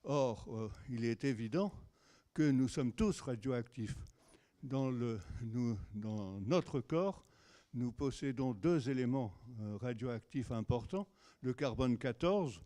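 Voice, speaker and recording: A man moderating a conference, introducing the next speaker in hesitant, halting speech. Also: medium pitch, warm tone. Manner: hesitant, many fillers and restarts. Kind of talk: introducing a lecture.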